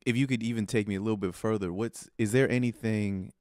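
A man in his thirties with a very deep voice hosts an interview from a small room. He asks questions softly, feeling his way through them. His voice sounds dry and close to the mic. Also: steady tone, fast, dry recording. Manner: soft, searching, short listening sounds. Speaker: host. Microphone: studio mic on a video call.

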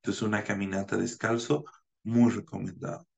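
A man in his thirties from Bolivia, a naturopath giving a measured online conference lecture over a webcam, with frequent hesitation fillers. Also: lowish voice, flat delivery, vocal fry. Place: home room, indoors. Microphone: video call webcam.

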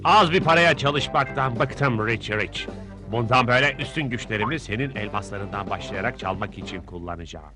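A super-powered robot dog from a cartoon, acted by a man speaks in a slightly low, measured and confident voice. Loud music runs over the speech. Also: lightly acted. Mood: cold, controlled. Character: heroic male super-powered robot dog film star. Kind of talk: dubbed cartoon voice acting.

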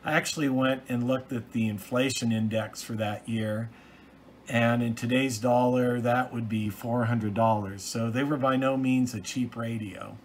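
A man's voice: medium pitch, steady tone, a little slow. Man explaining things over background noise. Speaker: hobbyist video host. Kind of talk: informal hands-on explainer. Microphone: phone, off camera. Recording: noisy home video recorded on phone.

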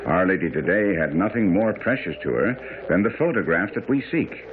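An actor playing a confident, sharp-witted gentleman detective in a radio drama, speaking in a formal, measured, explanatory way. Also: deep voice, animated. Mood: satisfied, pondering. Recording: muffled, noisy vintage radio broadcast.

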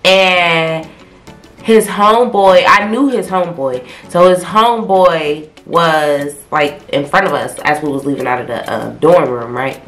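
A woman's voice: sits low, measured pace, reverberant, faint music behind. A young woman from Ohio with a stuffy, congested cold voice, chatting casually to camera while telling a story. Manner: loose, slangy, talkative, gestures a lot. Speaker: vlogger. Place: small bedroom in front of a pink wall. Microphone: camera a few feet away.

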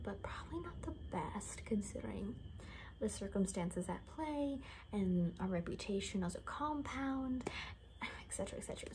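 A young woman talks in a hushed, breathy whisper with a mellow, relaxed tone. She rambles casually through an ASMR roleplay, a little sardonic in her delivery. Faint room noise sits behind her voice. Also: on the high side, rough recording. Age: young adult. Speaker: ASMR creator playing a caretaker. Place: small home bedroom, indoors.

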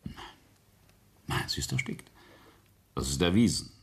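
An actor playing an arrogant middle-aged family patriarch in a radio play, deliberate and self-justifying, with a husky, gravelly voice. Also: male voice, a bass voice, animated, full character voice. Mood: bitter, self-justifying. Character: arrogant, coldly defiant family patriarch confessing a murder.

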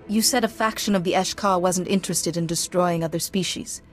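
A woman playing a calm, principled Jedi Master in a video game, composed, measured and formal. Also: lowish voice, close to an everyday voice. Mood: composed, resolute. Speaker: game protagonist. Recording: music clearly under the voice.

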